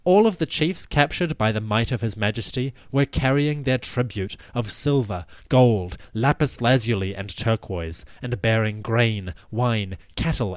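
Man narrating history in a dramatic measured style. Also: mid-range voice, dry recording. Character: commanding victorious pharaoh addressing his army. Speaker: podcast host and narrator.

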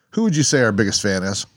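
A man's voice: on the low side, sing-song, moderate pace, no echo, studio quality. A man co-hosting a podcast in casual banter, joking and rambling with lots of restarts. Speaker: podcast co-host. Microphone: headphones and mic stand.

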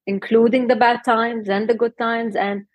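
A middle-aged woman from Lebanon, a health coach and guest, talking in a relaxed interview and laughing often. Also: a little high, normal speed, dry recording. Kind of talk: casual interview talk.

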